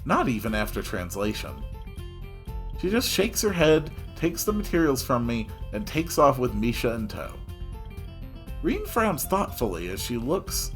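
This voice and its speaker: A highly animated man narrates a visual novel in the first person. His delivery is theatrical and expressive, at an unhurried pace, with his pitch moving widely. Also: soft background music. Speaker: host reading a visual novel aloud.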